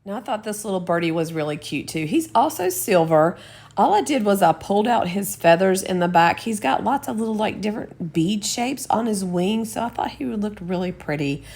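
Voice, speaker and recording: A woman with a Southern US accent, slightly hoarse and scratchy from a cold, chatting through craft steps as a channel host. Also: mid-range voice, expressive, fairly quick, darkish tone. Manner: chatty, homey, explains each step. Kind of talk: casual DIY voice-over.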